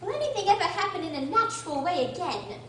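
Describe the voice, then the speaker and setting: A very high, lively little girl's voice acted by a woman rings out in an echoey room. She is prim, polite and curious, with firm opinions, in expressive radio-play style. The mic is distant and the recording noisy. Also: fast, full character voice. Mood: prim, opinionated. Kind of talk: amateur radio drama acting.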